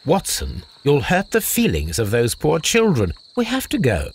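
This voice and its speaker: An actor playing a brilliant, eccentric Victorian English detective, formal, precise and dryly teasing, in a video game. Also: male voice, on the high side, huge pitch swings, normal speed, a little hiss or hum. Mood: wryly amused.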